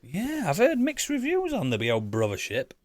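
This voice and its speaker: A man's voice: high-pitched, huge pitch swings, moderate pace, dry recording, clean studio sound. A British man co-hosting a podcast in casual banter, blunt, teasing and sweary. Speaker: podcast co-host.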